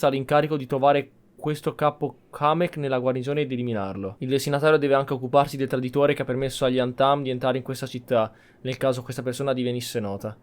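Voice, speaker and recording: A man from Italy with a medium-pitched voice and very quick speech. He hosts a gameplay session, commenting and roleplaying as he goes. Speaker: gamer, channel host.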